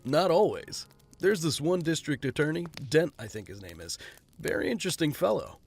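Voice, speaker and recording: A man playing a wry, guarded rich gentleman in an audio drama, speaking in a dry, understated way. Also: fairly high pitch, big pitch swings, moderate pace, breathy edge, full character voice.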